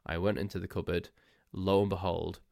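A man's voice: low voice, little pitch movement, brisk, gravelly, darkish tone, no echo, clean studio sound. A man hosting a solo podcast, stuffy and losing his voice, rambling and self-mocking with frequent laughs. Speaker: podcast host.

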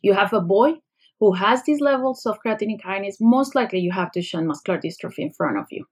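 Woman, Colombian Spanish accent, middle-aged doctor, explanatory, medium pitch. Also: expressive, brisk, breathy edge. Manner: explanatory, uses analogies, some fillers. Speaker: pediatric neurologist guest. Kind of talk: podcast interview, explaining a medical topic.

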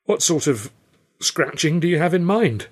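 A man's voice: a little high, animated, normal speed, breathy edge, voice pushed up. A man voicing an anxious, deferential comedian looking for work, hesitant and eager to please, in a storybook reading. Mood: eager, uneasy. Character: anxious, deferential male music-hall comedian seeking work.

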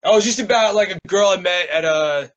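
A man with an American accent and a notably high voice for a male speaker. He is a guest poet, talking in a loose, slangy, laid-back way and reading from his writing. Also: brisk, fairly bright tone, lo-fi sound. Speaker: guest poet and small press publisher. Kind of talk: casual interview chat and poetry reading.

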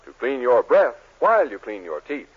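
A man delivering a 1940s radio commercial in a smooth, persuasive sales pitch. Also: sing-song, normal speed, heavy background noise. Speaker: commercial announcer. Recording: tinny old radio broadcast.

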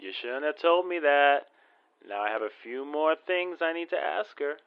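A man's voice: on the high side, lively intonation, normal speed, clear, resonant tone, no room sound, phone-quality sound. A man playing an earnest, curious young man in a radio drama, with a boyish, anxious manner. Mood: concerned.

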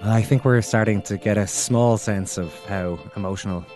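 A hoarse Irish man hosting a talk show, brisk and lightly teasing as he moves things along. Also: on the low side, darkish tone, soft background music. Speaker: radio podcast host. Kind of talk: studio chat.

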